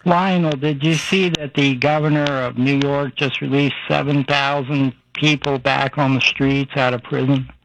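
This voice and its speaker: A man's voice: mid-range voice, steady tone, normal speed, vocal fry, hardly any pauses, fairly bright tone, slight background noise, low-quality recording. A man from Florida calling in to a radio show, blunt and indignant. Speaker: caller. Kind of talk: phone-in call.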